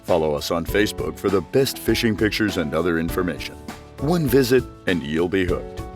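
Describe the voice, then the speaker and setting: A man's voice: low voice, lively intonation, fairly quick, music in the background. A man reading scripted sponsor messages as an announcer. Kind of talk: scripted ad narration.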